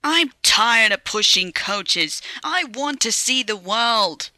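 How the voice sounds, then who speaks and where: A woman's voice: high voice, takes it slowly, no echo, pitched above the performer's own voice, full character voice. A woman voicing a proud, eager little tank engine in a storybook reading. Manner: eager, excitable.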